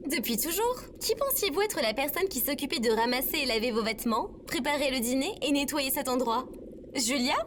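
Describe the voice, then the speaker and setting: A woman acting as a female AI companion in an audio comedy, high-voiced and swooping in melody. She is irritatingly polite, precise and bossy, with a chirpy sarcasm. Clear ambient noise runs behind her. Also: expressive, a little fast. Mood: cheerful sarcasm. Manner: formal, precise, playfully bossy. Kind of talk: acted audio comedy drama.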